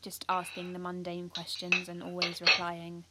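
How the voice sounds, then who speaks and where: A woman's voice: fairly low pitch, completely flat delivery, moderate pace, very noisy, lightly acted. A British woman playing an earnest, driven teenage schoolgirl activist, confiding in the listener in an audio drama monologue. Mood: subdued, resolute.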